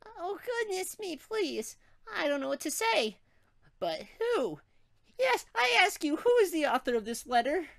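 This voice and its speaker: A man's voice: very high voice, sing-song, measured pace, creaky, fairly bright tone, voice pushed up, exaggerated voice. A man voicing a prim, dainty young woman with a melodramatic, earnest manner in a comic story reading. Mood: flustered.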